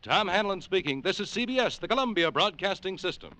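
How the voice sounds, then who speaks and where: A man announcing a 1940s radio program, reading sponsor messages and credits in a crisp, emphatic, formal manner. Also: high voice, lively intonation, fairly quick, pushed and bright, no room sound, poor audio.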